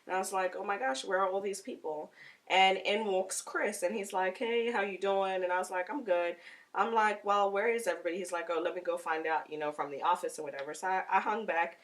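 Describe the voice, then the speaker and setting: A woman's voice: medium pitch, a little fast, distant mic. A woman from Africa, a guest chatting casually and telling a story with frequent laughter. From South Africa. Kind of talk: casual interview chat.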